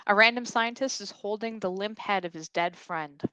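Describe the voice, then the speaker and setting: A woman's voice: mid-range voice, moderate pace, pushed and bright, smooth, no room sound, low-quality recording. A woman reading out stage directions as scripted narration at a comedy table read.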